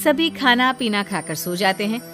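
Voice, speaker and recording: A woman's voice: medium pitch, expressive, moderate pace, pushed and bright, soft background music. A woman narrating a family cartoon story in a plain storytelling manner.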